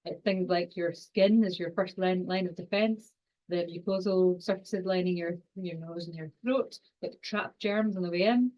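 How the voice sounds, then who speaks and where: A woman with a Scottish accent and a lowish voice lectures quickly, often restarting phrases with fillers. She sounds like a scientist teaching over a video call. The audio is dull and lo-fi. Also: a little mellow. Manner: fast-talking, with frequent fillers and restarts. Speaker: university lecturer and exercise scientist. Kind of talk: online lecture.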